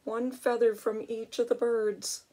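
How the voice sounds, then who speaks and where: Older woman, unhurried storybook reader, slightly husky, expressive, with chatty asides for a small child. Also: on the high side, rough recording. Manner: expressive, exclaiming, talking to a young child. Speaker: grandmother reading a picture book.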